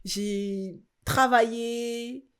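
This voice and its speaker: A woman in her twenties from France, a make-up artist guest telling stories in a casual interview, animated and quick to laugh. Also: a little high, clear, resonant tone. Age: 20s. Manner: animated storyteller who laughs often.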